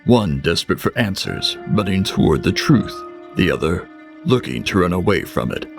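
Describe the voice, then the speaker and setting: Eerie anthology narrator: low, widely swooping delivery, theatrical, portentous, sly wit. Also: male voice, expressive, moderate pace, vocal fry, over music. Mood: wry, dramatic. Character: ominous, wry male narrator of an uncanny anthology tale. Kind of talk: scripted narration in vintage eerie TV anthology manner.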